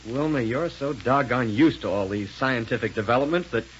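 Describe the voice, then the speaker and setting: A man's voice: medium pitch, moderate pace, lightly acted. Male actor as decisive, folksy space hero, old radio serial, muffled hissy recording. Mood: eager, explaining. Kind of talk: 1930s radio serial acting.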